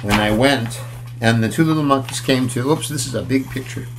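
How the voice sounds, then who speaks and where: A man in his sixties reading a picture book aloud to children, playful and expressive, with casual asides as he goes. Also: medium pitch, a little fast, background noise. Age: 60s. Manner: expressive, playful, inviting listeners along. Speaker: children's storyteller. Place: small room at home, indoors.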